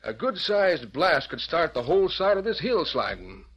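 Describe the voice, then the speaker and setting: Elderly Western homesteader, American, reasonable yet firm, husky, lively intonation, dull vintage radio sound. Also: male voice, a little high, exaggerated voice. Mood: earnest, reasoning. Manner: earnest, measured, folksy. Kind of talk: old-time radio Western acting. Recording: muffled vintage radio recording.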